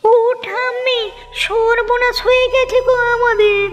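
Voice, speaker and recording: A woman's voice: very high-pitched, fairly even intonation, measured pace. A woman playing a distraught, pleading young village wife in a Bengali cartoon. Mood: tearful, pleading. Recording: dull lo-fi sound, music underneath.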